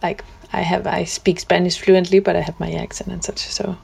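Gravelly woman, hesitant and thoughtful. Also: fairly low pitch, little pitch movement, normal speed, darkish tone. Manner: hesitant, with many fillers and restarts. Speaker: guest musician. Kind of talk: casual conversation.

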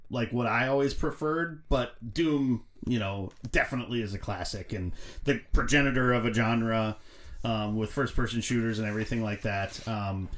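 Rambling man in casual podcast chat. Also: mid-range voice. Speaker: podcast co-host.

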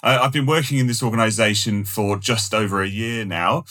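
A man hosting a podcast, speaking in a measured, polite way with the odd uh. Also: on the low side, fairly even intonation, a bit bright. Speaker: podcast host. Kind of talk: podcast hosting, scripted intro then casual chat.

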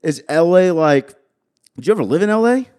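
A man's voice: fairly high pitch, brisk, clean tone. A young man hosting a podcast, chatting loosely and swearing often as he jokes with his guests. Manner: loose, profane, animated banter. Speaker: podcast host. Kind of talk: casual podcast chat.

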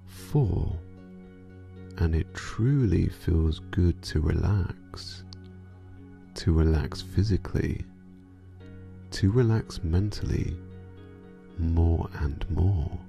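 A man guiding a sleep meditation in a soothing, hypnotic manner, drawing out his phrases and letting endings fall soft and breathy. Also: low voice, extremely slow, pauses often, soft background music. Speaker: meditation guide.

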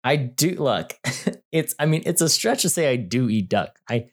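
A man's voice: mid-range voice, very animated, normal speed. A man co-hosting a podcast, chatting casually in a wry, joking way, rambling with many fillers and restarts. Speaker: podcast co-host. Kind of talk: casual chat.

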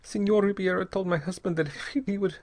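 A man voicing a terrified, despairing young married woman in an audiobook story, with a breathy, halting, pleading delivery. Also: high-pitched, fairly even intonation, a little mellow, voice pushed up, lightly acted. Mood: anguished recounting. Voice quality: breathy, gasping.

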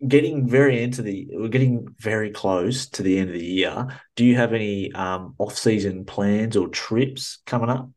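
A man's voice: on the low side, normal speed, hardly any pauses, darkish tone. A man from New Zealand with an Australian-sounding accent, hosting a podcast interview in a chatty, upbeat way from a small cubby. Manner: chatty, upbeat, laughs easily. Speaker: podcast host. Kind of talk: casual interview chat.

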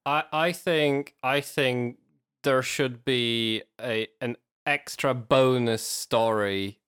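A man co-hosting a podcast in casual discussion, with halting, deliberate phrasing. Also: medium pitch, steady tone, a bit bright, smooth.

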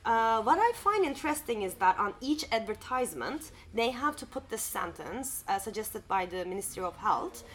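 A woman's voice: mid-range voice, lively intonation, moderate pace, hardly any pauses, fairly bright tone. A woman from Turkey co-hosting a podcast in casual chat, laughing easily and using many fillers. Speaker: podcast co-host. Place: sitting at a bar or cafe.

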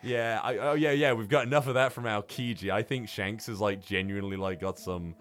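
A man's voice: fairly low pitch, steady tone, fairly quick, a bit bright, faint music behind. Profane, rambling man on a podcast. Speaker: podcast host. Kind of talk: casual film review chat.

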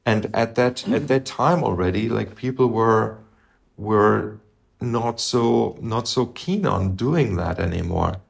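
A middle-aged man co-hosting a podcast, talking through music in a thoughtful, halting way and humming phrases to make his point. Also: fairly low pitch, vocal fry. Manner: thoughtful, halting, hums melodies to illustrate. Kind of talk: casual music discussion.

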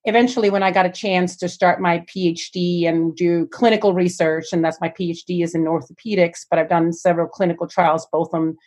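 A woman's voice: on the low side, fairly even intonation, fairly quick, hardly any pauses, darkish tone. Swedish-born woman, chatty and self-deprecating. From Sweden. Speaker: physical therapy professor and researcher. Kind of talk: panel podcast discussion.

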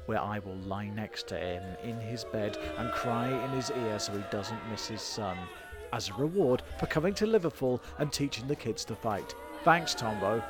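A British man co-hosting a silly history comedy podcast, with playful, mock-grand scripted narration. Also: medium pitch.

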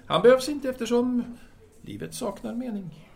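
A man playing a courteous, self-absorbed philosopher and writer in an audio drama, musing and halting as he explains himself. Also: very high voice, vocal fry, darkish tone.

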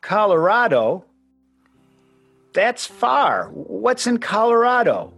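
An actor playing an aggrieved, sentimental ex-husband and sports fan in a radio play, speaking plaintively. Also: male voice, sits high, sing-song, takes it slowly, vocal fry, a bit bright, husky, faint music behind, full character voice. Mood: indignant.

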